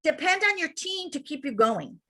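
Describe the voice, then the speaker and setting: Woman, Cuban accent, clear bright tone, childcare director, lively webinar talk, video call. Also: high-pitched, normal speed. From Cuba. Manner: energetic, animated, speaks directly to attendees. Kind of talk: webinar presentation.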